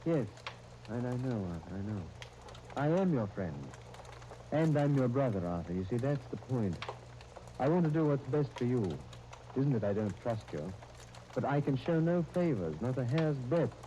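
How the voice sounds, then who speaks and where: An actor in a 1930s radio drama playing a captain, an earnest, measured older brother, on a noisy old broadcast disc. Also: male voice, mid-range voice, sing-song, warm tone, dull audio, natural voice. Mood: earnest, reasoning. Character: British army captain, dutiful reluctant older brother.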